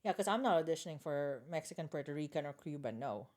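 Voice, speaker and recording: A woman's voice: deep voice, expressive, fast, a little mellow. A woman with a Filipino accent, an actor and stand-up comic, chatting casually in an emphatic, exclaiming way. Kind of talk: casual chat.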